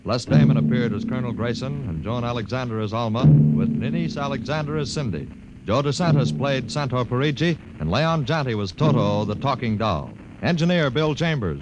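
A man announcing the credits of a radio drama in a formal, measured way. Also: mid-range voice. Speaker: announcer and narrator. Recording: old radio transcription with loud noise.

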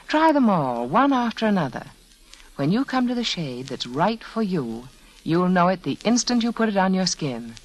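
Female 1940s advertising spokeswoman, animated intonation, measured direct address, old radio recording. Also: medium pitch, poor audio.

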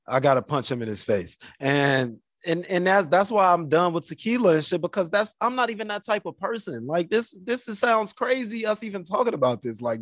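Man, podcast co-host; slightly high pitch, dry close recording, laughing self-mocking storyteller. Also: dry recording. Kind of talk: casual chat.

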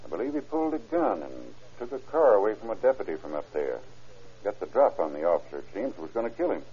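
A plain-talking sheriff's deputy in an old radio crime drama. His low voice is gruff and husky, with lively swings in tone. The recording is dull. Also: quick pace. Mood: matter-of-fact.

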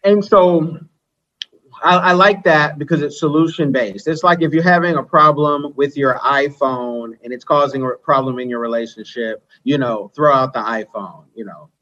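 A man's voice: on the high side, normal speed, pushed and bright. A man hosting an interview in a casual, conversational way, on lo-fi audio. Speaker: interview host.

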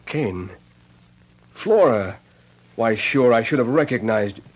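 An actor playing a mild older cowhand with a past, American accent, plain-spoken and hesitant, in an old radio western. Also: male voice, mid-range voice, expressive, takes it slowly, muffled recording. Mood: friendly, guarded. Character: mild older ex-convict cowhand, trying to avoid trouble.

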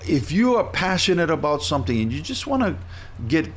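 A man's voice: a little high, very animated, fairly quick, slightly rough. A middle-aged man, chatting casually and enthusiastically about training with his coach, rambling with restarts. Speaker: client sharing his experience. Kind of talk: casual chat.

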